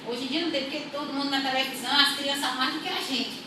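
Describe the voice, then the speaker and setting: A young woman from rural Brazil telling her life story as a church testimony, unhurried and repeating her phrases. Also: high voice, fairly even intonation. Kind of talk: personal testimony. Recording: echoey room, far from mic, audible noise.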